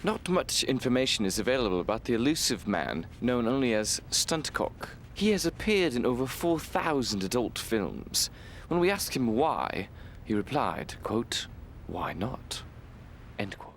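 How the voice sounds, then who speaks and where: A man reading scripted mock-documentary narration in a deliberate, mock-serious manner. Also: mid-range voice, big pitch swings, a little hiss or hum. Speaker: documentary narrator, voice-over artist.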